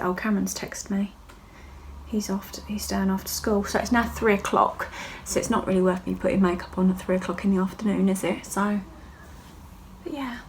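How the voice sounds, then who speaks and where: A woman's voice: mid-range voice, a little hiss or hum. A woman, a hairdresser chatting casually to her viewers in a video diary, rambling from one thing to the next. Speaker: vlogger and hairdresser.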